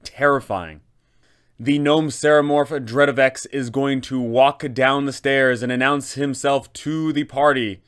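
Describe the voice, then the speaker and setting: Male host for roleplaying explainer: smooth, clean voice, mid pitch, informal and emphatic game master narration. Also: steady tone, measured pace, a bit bright.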